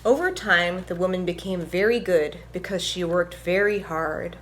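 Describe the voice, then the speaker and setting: A woman reading aloud and teaching music to young children in a gentle, encouraging, instructive manner. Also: fairly low pitch, animated, moderate pace, slight background noise. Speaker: violinist presenting a children's story time. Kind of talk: picture book read-aloud and music lesson for children.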